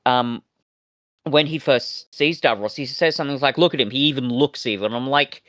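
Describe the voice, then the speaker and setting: A man speaks as a podcast co-host in a very dry, close recording. His review talk is casual and reflective, with halting phrasing as he forms each thought. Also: mid-range voice, a bit bright, no room sound.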